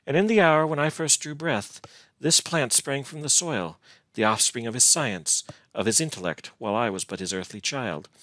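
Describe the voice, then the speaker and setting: A man voicing a gentle, lonely, devoted young woman in a gothic storybook, with archaic, plaintive, imploring speech. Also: medium pitch, lively intonation, moderate pace, dry recording, voice pushed up, lightly acted. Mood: tender, fearful. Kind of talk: dramatic gothic storybook reading.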